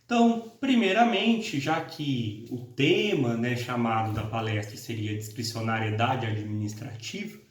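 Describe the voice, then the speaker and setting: A young-adult man lecturing on law with a northern Paraná interior accent, pausing on fillers and restarting his phrases. His voice sits mid-range and bounces around a roomy space, picked up from some distance. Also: mid-range voice. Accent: northern Paraná interior Brazilian Portuguese. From interior of Paraná, Brazil. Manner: hesitant, many fillers and self-corrections. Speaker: law professor and course coordinator. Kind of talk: informal recorded lecture. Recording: echoey room, mic at a distance.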